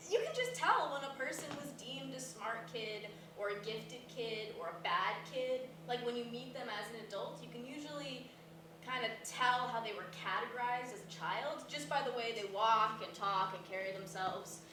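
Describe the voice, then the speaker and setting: A woman's voice: a little high, lively intonation, moderate pace, roomy sound, close to an everyday voice. Far off noisy recording, actress as blunt chatty self-deprecating woman in a play, talks casual. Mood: confident, frank. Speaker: actor in a staged play reading. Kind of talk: naturalistic stage dialogue before a live audience.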